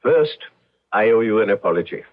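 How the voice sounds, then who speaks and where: A man's voice: lowish voice, lively intonation, brisk. An actor in an old radio drama playing a grave, stiffly courteous man with formal speech. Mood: formal, solemn. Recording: dull lo-fi radio drama recording.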